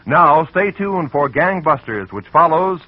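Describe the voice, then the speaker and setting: A male radio announcer reading credits and promos in a crisp, formal broadcast manner, on an old lo-fi broadcast. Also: on the high side, very animated, measured pace, background noise.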